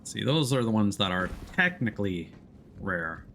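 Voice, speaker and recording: A man's voice: on the low side, very animated, moderate pace, fairly bright tone, a little hiss or hum. A man giving casual, easygoing live commentary as he plays a video game, thinking aloud. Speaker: game streamer.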